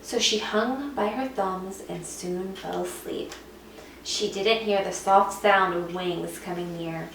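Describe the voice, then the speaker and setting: A woman narrates a picture book with expressive, dramatic emphasis. Her voice is mid-pitched, recorded a little away from the mic in an echoey room. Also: takes it slowly, gravelly. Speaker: teacher reading aloud. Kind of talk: expressive scripted storybook narration. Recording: echoey room, distant mic, faint noise.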